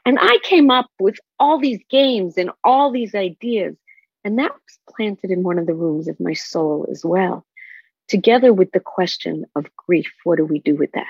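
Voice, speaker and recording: Older woman, husky higher voice, emphatic storytelling, dry dull lo-fi recording. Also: animated, moderate pace, no echo. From Israel. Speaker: guest, spiritual counselor. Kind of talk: personal storytelling in an interview.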